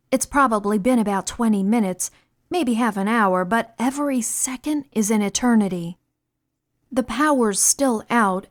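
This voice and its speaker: Expressive woman audiobook narrator. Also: fairly high pitch. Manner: expressive, measured storytelling. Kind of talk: audiobook narration demo reel.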